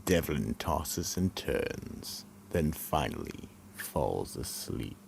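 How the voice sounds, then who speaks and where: A man playing an ominous horror host, narrating a radio mystery drama in a slow, dramatic manner. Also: extremely low pitch, sing-song, gravelly, a little mellow, a little hiss or hum, exaggerated voice. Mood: ominous, solemn. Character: ominous male Keeper of the Vault, horror narrator.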